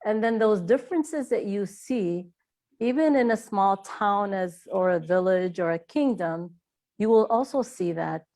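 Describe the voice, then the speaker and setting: A woman's voice: medium pitch, a little slow, darkish tone. A middle-aged woman from Iraq, an author answering interview questions in a reflective way with frequent hesitations.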